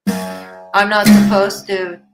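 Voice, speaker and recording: A woman's voice: mid-range voice, little pitch movement, loud music behind the voice. A woman mocking a whiny begging man singing. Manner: mocking sing-song, slow and drawn out.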